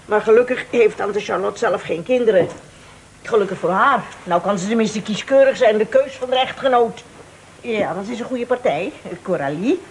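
An actress playing a thrifty, condescending old aunt in a Dutch radio play, sharp-tongued and coaxing by turns. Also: female voice, mid-range voice, normal speed, slight background noise, close to an everyday voice. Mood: smug, belittling. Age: older.

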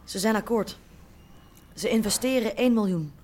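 Actress as a businesslike, careful go-between. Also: female voice, medium pitch, moderate pace, darkish tone, a little hiss or hum, natural voice. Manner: careful, insistent. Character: businesslike go-between for shady investors. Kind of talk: radio drama acting.

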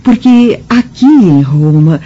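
An aging, self-effacing maidservant in a radio drama. Her Brazilian voice is soft-edged and husky, swinging expressively in pitch. She speaks with gentle deference to her masters. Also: lively intonation, slow pace, highs cut off. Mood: humble, weary. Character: humble, self-effacing older female household slave.

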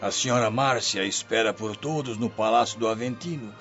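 A man's voice: on the low side, normal speed, a bit bright, lightly acted. A man playing an anxious servant bringing grave news in a radio drama. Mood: worried, deferential. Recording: dull lo-fi radio drama recording. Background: faint music.

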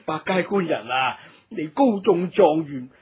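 A man playing a kindly, excitable old village grandfather in a Cantonese radio drama, effusive and exclaiming, often repeating himself. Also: a little high, unhurried. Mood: humble, glad.

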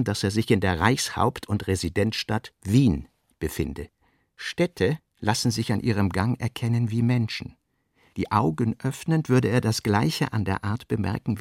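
A man reading literary prose as a radio play narrator, measured and articulate. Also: on the low side, creaky, no echo, clean studio sound.

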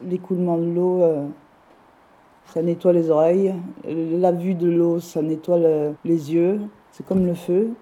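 Woman, deep mellow voice, dreamy musing. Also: level tone, unhurried, dull audio. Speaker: local resident. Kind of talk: personal reflection.

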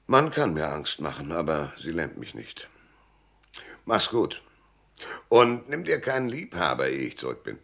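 A man's voice: very deep voice, huge pitch swings, unhurried, creaky, long pauses, a bit bright, heavily acted. An actor playing a stubborn, cool-headed male private detective in a German radio drama, laconic and hardboiled. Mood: resolute, cool.

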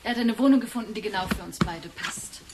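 A woman's voice: mid-range voice, fast, noisy background, close to an everyday voice. An actress playing a scornful woman leaving her older partner in a German radio drama, dismissive and pointed. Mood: cool, scornful.

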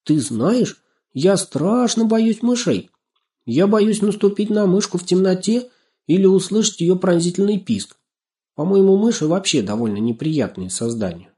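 A man's voice: on the high side, normal speed, mellow, pitched above the performer's own voice, lightly acted. A man voicing an affectionate, curious, easily frightened young girl with a ringing, tender voice in a storybook. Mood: frightened, squeamish. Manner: exclaiming, full of questions.

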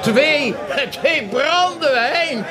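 An actor playing a fussy, eager, gullible merchant in a radio drama, stammering, flustered and polite. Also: male voice, extremely high pitch, animated, very slow, exaggerated voice. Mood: amused. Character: fussy, eager, gullible male pepper buyer. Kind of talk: Dutch radio drama acting. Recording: busy crowd noise behind.

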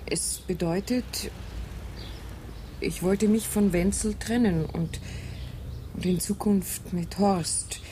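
An actress in a German radio play voicing a composed, frank woman with a measured, dry manner. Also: medium pitch, mellow, close to an everyday voice. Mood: frank, subdued. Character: composed, frank widow confiding in an acquaintance. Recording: clear ambient background noise.

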